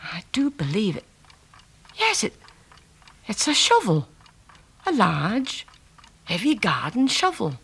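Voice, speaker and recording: A woman's voice: mid-range voice, wildly swooping pitch, slow pace, pauses often, a bit bright, husky, heavily acted. A woman playing a nosy, nagging, self-righteous wife in a 1970s radio play, emphatic and melodramatic. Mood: suspicious, excited.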